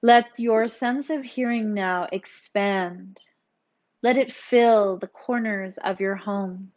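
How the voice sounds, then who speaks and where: A woman yoga instructor narrating a guided meditation in a soothing, gently encouraging, instructional manner. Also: mid-range voice, slow pace, highs cut off.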